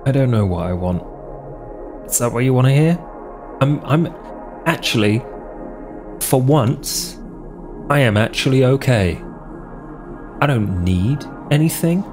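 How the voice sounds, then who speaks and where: A man playing a weary, defiant vampire wanderer in an improvised tabletop roleplay, blunt and exasperated, with halting delivery. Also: medium pitch, animated, over music, voice pushed up, close to an everyday voice.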